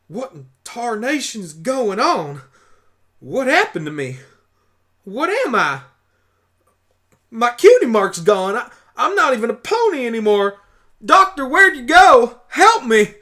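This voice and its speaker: Man putting on a folksy, lively country mare. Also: very high-pitched, slow pace, long pauses, pitched above the performer's own voice, heavily acted. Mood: startled. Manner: folksy, blunt, exclamatory. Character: plain-spoken, stubborn young country mare pony turned human. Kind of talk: fan audio drama acting.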